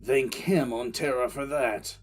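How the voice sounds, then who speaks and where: A man's voice: mid-range voice, unhurried, soft background music, close to an everyday voice. A man playing a grim, battle-weary military commissar, narrating dramatically in the first person for an audio drama. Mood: wry.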